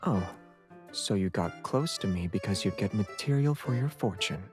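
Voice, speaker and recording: An actor playing a gentle, teasing young man from the stars in a video game, with music behind him. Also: on the low side, animated, moderate pace, close to an everyday voice. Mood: dry, teasing.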